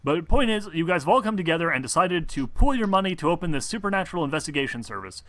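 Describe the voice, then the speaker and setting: A man's voice: fairly high pitch, very animated, rapid-fire, fairly bright tone, no room sound. A man acting as game master in a casual online tabletop session, prone to swearing. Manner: foul-mouthed.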